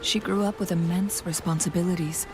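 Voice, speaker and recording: An actress gives voice to a thoughtful young woman who travels beside the hero. Her voice sits on the low side and she talks in a measured, musing way, often dropping into a soft hush. Game music plays clearly behind her. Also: fairly even intonation, lightly acted, low-quality recording. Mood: reflective. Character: thoughtful young woman companion, earnest, curious. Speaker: game companion character. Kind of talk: scripted game dialogue.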